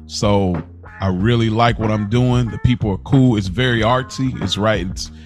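A young man with a low voice co-hosts a casual podcast. He talks in a loose, rambling way, often restarting his sentences, over faint background music. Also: normal speed. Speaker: podcast co-host.

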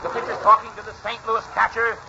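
Excitable high-pitched 1940s radio ballpark announcer. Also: male voice, vocal fry, full character voice. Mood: excited. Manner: suspenseful, drawn-out calls bursting into shouts. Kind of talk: 1940s radio play-by-play acting. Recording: noisy, muffled 1940s radio recording.

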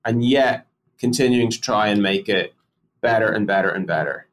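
A man's voice: lowish voice, normal speed, slight background noise. A man co-hosting a casual podcast, talking animatedly and firing off rhetorical questions as he acts out colleagues. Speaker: podcast co-host discussing product.

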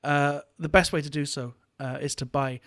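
A man co-hosting a casual podcast, telling stories in an animated, joking, mildly profane way. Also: on the high side, moderate pace, no room sound, studio quality. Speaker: podcast co-host.

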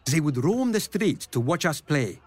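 A man's voice: on the high side, very animated, normal speed, breathy edge, dry recording. An actor playing a grown football star who fondly and wryly tells of his boyhood. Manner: fond, wry first-person storytelling.

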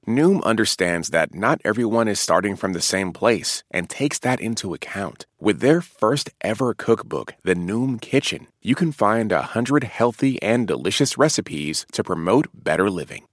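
A man's voice: on the low side, no echo, clean studio sound. A man reading a scripted sponsor message as an announcer. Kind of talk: scripted ad read.

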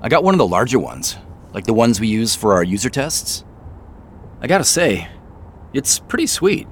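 An actor playing a wry, self-deprecating tech boss in an audio drama, conversational and playful yet guarded. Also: male voice, medium pitch, big pitch swings, normal speed, slight background noise, close to an everyday voice. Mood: playful, amused. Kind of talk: naturalistic audio drama acting.

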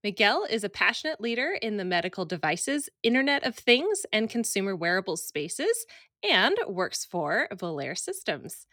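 A woman's voice: mid-range voice, very animated, moderate pace, a bit bright, no echo, clean studio sound. A woman hosting a podcast interview, speaking in a bubbly, enthusiastic way. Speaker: podcast host.

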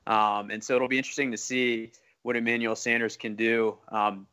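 Male sports podcast co-host, forceful bright voice, casual conversational questioning. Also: medium pitch, little pitch movement, moderate pace, smooth.

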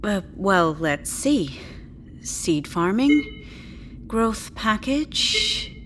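Female sci-fi clinic doctor, lowish voice, measured, polite, faintly condescending, audio drama, light background ambience. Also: a little hiss or hum. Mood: businesslike. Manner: measured, hesitant, politely professional.

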